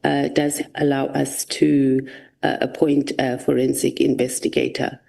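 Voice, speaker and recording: Woman, mellow tone, measured questions, frequent pauses. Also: very deep voice, flat delivery, gravelly. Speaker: committee member questioning a witness. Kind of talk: formal questioning at a hearing.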